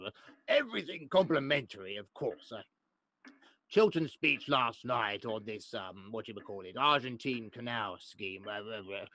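A man's voice: mid-range voice, expressive, normal speed, bright, forceful tone. An actor playing a crotchety old English lord and father in a radio play, sputtering and hesitant as he scolds. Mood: blustering. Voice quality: creaky voice.

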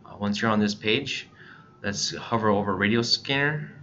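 Low, bright-voiced man giving a tutorial. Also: moderate pace. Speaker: software tutorial presenter. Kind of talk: casual screen-capture tutorial narration. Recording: dull lo-fi mic, background noise.

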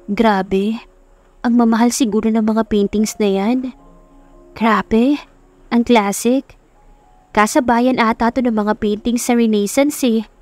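A woman's voice: fairly high pitch, fairly even intonation, slow pace, pauses often. A woman voicing an affectionate, respectful young woman in her early twenties in a dramatized love-story audiobook. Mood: curious. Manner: respectful, gently teasing. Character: eager, polite twenty-two-year-old woman, affectionate daughter and new tutor. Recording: music under the voice.

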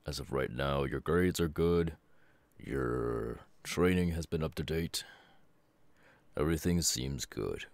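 A stern middle-aged superhero father, acted out by a man for an ASMR roleplay. He is brooding yet caring, with a bottomless low voice that crackles with fry. He talks slowly and weightily, with halting pauses. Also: no echo, full character voice. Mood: measured concern. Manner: slow, deliberate, halting delivery with sighs. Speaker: voice actor.

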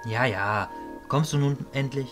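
A man playing a calm, serious, fatherly mentor mage in an audio drama, composed and matter-of-fact. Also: medium pitch, unhurried, loud music behind the voice, close to an everyday voice. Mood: reassuring.

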